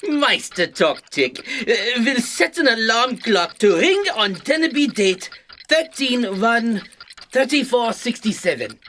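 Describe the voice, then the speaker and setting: Slow, high, old captain. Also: female voice, very animated, a bit bright, slight background noise, full character voice. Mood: theatrical. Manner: theatrical, doting. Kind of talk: science-fiction audio drama acting.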